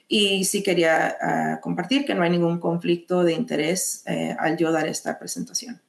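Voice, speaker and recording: A woman with a Mexican accent presenting a mental health lesson, measured, pausing often to restart phrases. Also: on the low side, fairly even intonation, vocal fry, echoey room. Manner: measured, with frequent hesitations and restarts. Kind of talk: educational webinar presentation.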